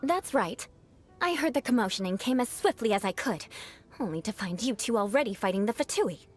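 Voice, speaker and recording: A woman's voice: a little high, animated, brisk, faint music behind, natural voice. Proud, vengeful young noblewoman knight for an anime game, played by a woman: formal, composed, lilting and theatrical. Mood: haughty, composed.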